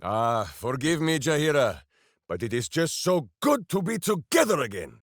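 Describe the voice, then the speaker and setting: An actor playing a big-hearted, simple-minded berserker ranger in a video game, declaiming grandly and earnestly. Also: male voice, a little high, very animated, moderate pace, dry recording, clean studio sound. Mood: affectionate. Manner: grandiose third-person declamation, earnest and theatrical. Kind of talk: video game character voice lines.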